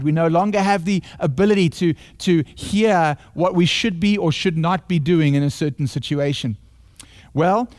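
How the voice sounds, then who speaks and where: A man with a South African accent delivers a sermon, his voice sitting noticeably high for a man. He talks earnestly, circling back on phrases as he builds each point. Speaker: pastor.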